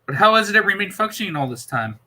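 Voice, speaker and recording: A man's voice: high voice, big pitch swings, fast, sharp tone, voice pushed up, heavily acted. A man voicing a worried female companion in an audiobook, asking short, pressing questions. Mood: questioning.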